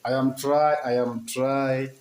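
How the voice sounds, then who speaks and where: A man with a Tanzanian accent chats casually to viewers as he demonstrates cooking, in halting, simple non-native English with short repeated phrases. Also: medium pitch, level tone, a little mellow. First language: not English. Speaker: cooking vlogger. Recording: echoey, noisy with cooking sizzle.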